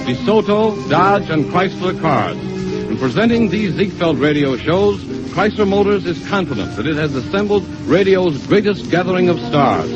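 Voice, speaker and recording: A man's voice: sits high, animated, moderate pace. An American man announcing from a script in a formal, promotional 1930s radio style. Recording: muffled vintage 1930s radio broadcast, music behind.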